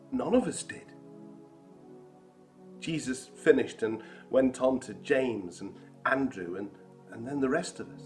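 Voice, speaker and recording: A man playing the apostle Thomas, telling the story wryly with soft laughs. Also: mid-range voice. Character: apostle Thomas, adult man, wry and doubtful. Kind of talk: first-person dramatic monologue.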